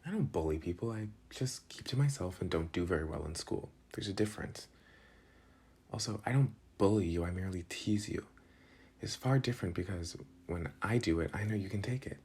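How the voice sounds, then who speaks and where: A young man playing a protective, teasing but soft-hearted school delinquent in an intimate, casual ASMR boyfriend roleplay. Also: low voice, lively intonation, a little fast, slightly rough, heavily acted. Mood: teasing, coaxing.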